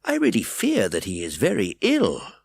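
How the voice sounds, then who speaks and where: A man reading aloud and voicing a kindly, absent-minded old gentleman who protests earnestly. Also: lowish voice, wildly swooping pitch, normal speed, creaky, slightly rough, no echo, studio quality, full character voice. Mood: anxious.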